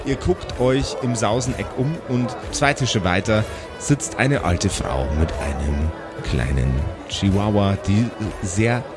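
A man narrating an improvised pen-and-paper audio play as game master. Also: fairly low pitch.

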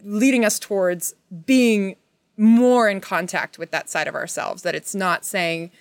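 A woman with a medium-pitched voice in a casual podcast conversation. She is earnest and wordy, circling and restarting as she searches for the right phrase. The recording is close, with no room sound. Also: measured pace, dry recording. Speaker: podcast guest, performer.

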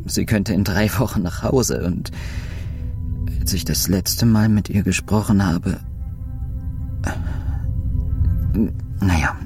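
An actor playing a subdued, overwhelmed male astronaut in a naturalistic audio drama, speaking in a halting, quiet way. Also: low voice, over music. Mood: grieving, subdued.

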